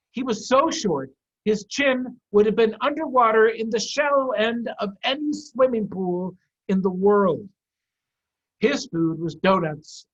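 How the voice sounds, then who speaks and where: Husky man reading to children. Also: high-pitched. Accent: American. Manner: animated, emphatic storytelling with dramatic pauses. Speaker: head of school reading to children. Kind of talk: story time read-aloud for young children. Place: online video call. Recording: dull, muffled online video call.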